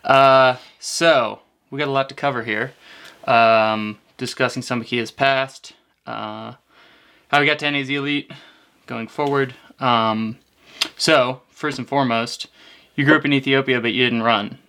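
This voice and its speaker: Bright, forceful male podcast interviewer. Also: mid-range voice, steady tone, unhurried, clear, resonant tone. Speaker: podcast host interviewing an athlete. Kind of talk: casual interview.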